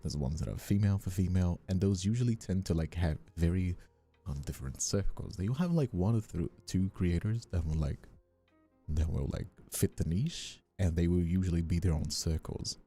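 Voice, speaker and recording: Very deep, dark-voiced man chatting. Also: normal speed, slightly rough, soft background music. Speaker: streamer and ASMR voice actor. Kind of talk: casual livestream chat answering viewers.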